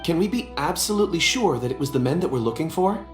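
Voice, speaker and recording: A man's voice: a little high, animated, fast talker, lightly acted. An actor playing a reserved, modest man in a video game, with an understated, matter-of-fact manner. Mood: thoughtful. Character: reserved, modest man with stone-reading gift. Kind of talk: video game character acting. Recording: faint music, roomy sound.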